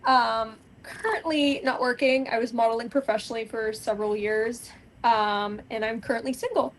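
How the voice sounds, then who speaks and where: A woman in her thirties introducing herself on a panel, speaking softly and with some hesitation. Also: a little high, fairly even intonation, moderate pace, a little hiss or hum, low-quality recording. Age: 30s. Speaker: panel guest, former model.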